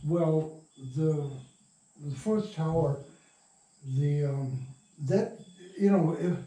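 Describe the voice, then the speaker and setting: A man's voice: fairly high pitch, drawn-out words, mellow. An older man telling stories casually in an interview, rambling and salty-tongued. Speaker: interviewee, radio play producer. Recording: roomy, distant mic, noisy recording.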